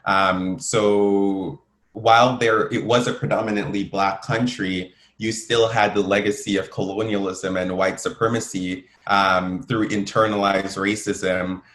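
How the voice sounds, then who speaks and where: Flat-toned man, roomy sound. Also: lowish voice, a little slow. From Jamaica. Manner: earnest, steady, with many um fillers. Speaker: guest. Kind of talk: conversational interview answers. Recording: echoey room.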